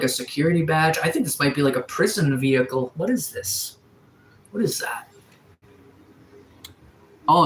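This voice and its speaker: A man's voice: medium pitch, roomy sound, slight background noise, low-quality recording. Laid-back man in his thirties rambling. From Atlantic Canada. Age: 30s. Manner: relaxed, rambling, many fillers and restarts. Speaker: livestreamer. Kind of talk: casual live-stream chat reading viewer comments. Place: sunroom.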